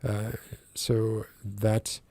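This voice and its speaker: A man's voice: on the low side, completely flat delivery, extremely slow, warm tone, no room sound. A man hosting in a casual, hesitant, informal chatty style. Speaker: host.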